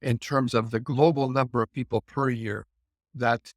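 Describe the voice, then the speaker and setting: A man's voice: medium pitch, steady tone, normal speed, no echo, clean studio sound. A middle-aged Canadian man explaining points thoughtfully in a conversational discussion, with frequent fillers and restarts. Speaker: guest immigration commentator.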